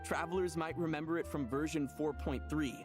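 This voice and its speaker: A man's voice: fairly high pitch, brisk. A man hosting a scripted game promo video in an upbeat, bouncy presenter manner. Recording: promo audio with faint music.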